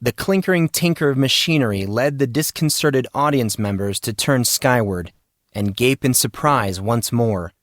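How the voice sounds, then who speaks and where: A man narrates a story in a dramatic, scripted style. His pitch is middling for a man. The recording is dry and close, with very little room sound. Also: moderate pace, dry recording. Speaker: audiobook narrator.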